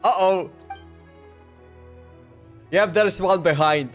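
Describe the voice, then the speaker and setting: A man's voice: high-pitched, slow pace, faint music behind. A man livestreaming a game with casual, slangy, loosely phrased commentary. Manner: loose, slangy, hesitant reactions.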